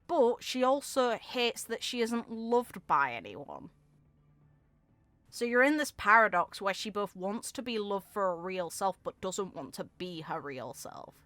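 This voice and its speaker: Bright-voiced woman, casual game commentator. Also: medium pitch, sing-song, unhurried, dry recording.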